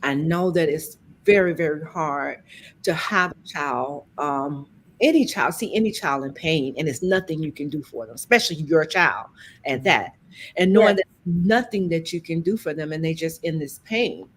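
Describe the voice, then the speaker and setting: Scratchy, lower-voiced woman host. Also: normal speed, husky. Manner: sympathetic, encouraging. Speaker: host, interviewer. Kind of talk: conversational online interview hosting. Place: remote video call.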